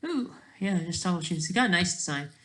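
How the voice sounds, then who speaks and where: A person thinking aloud in casual, rambling, digressive commentary as a video creator, with a creaky voice. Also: medium pitch, animated, fast, a bit bright.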